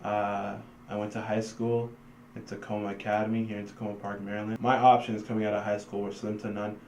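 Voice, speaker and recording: A young man with an American accent giving a personal testimonial as a student, with hesitant pauses. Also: fairly low pitch, flat delivery, a little mellow. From Washington, D.C., United States. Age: young adult. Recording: echoey room, faint background noise.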